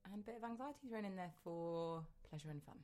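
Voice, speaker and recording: A woman's voice: medium pitch, animated, fast, mellow. A woman co-hosting a podcast, chatting playfully with wordy, jokey turns of phrase. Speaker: podcast co-host. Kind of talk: casual chat.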